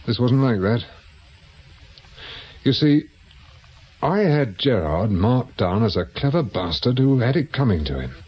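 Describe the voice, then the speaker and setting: A British private investigator in a radio play, world-weary and dryly sardonic. His mid-pitched voice moves up and down in a lively way, like someone amused by his own troubles. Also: unhurried, long pauses, husky. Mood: reflective. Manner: dry, sardonic. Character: wry, world-weary male private investigator, ex-policeman, laid up injured. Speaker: actor. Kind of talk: radio drama acting.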